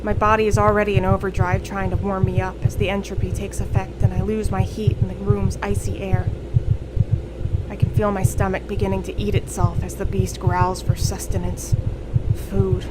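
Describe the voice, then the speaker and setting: An actress playing a weary, defiant fast-food worker turning into a werewolf, narrating a horror audio drama with brooding intensity. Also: female voice, mid-range voice, level tone, very noisy, natural voice. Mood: strained, hungry. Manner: intense, brooding, literary storytelling. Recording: loud music bed behind voice.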